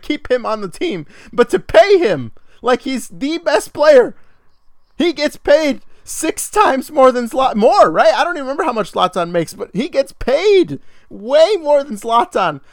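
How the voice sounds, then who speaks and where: A man's voice: very high voice, very animated, normal speed, dry recording, clean studio sound. A man co-hosting a sports podcast in casual chat, ranting with exasperation and laughing between points. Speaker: podcast co-host.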